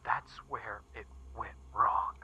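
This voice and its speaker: A man playing a shaken young witness recounting an occult horror in a first-person story reading, halting and emphatic, with stammers and repeats. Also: on the low side, fairly even intonation, slightly rough, exaggerated voice. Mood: hushed, dread. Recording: muffled recording with background music. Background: eerie background music.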